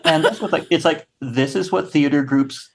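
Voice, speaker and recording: A man's voice: on the high side, very animated, normal speed, vocal fry, breathy edge. A man talking casually as a guest in a podcast interview. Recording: faint noise with clicks.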